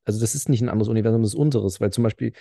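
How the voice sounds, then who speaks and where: A man's voice: lowish voice, racing, gravelly, warm tone, dry recording, clean studio sound. A man co-hosting a casual podcast, rambling and full of fillers as he tells stories. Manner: rambling, filler-heavy storytelling. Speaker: podcast co-host. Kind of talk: casual chat.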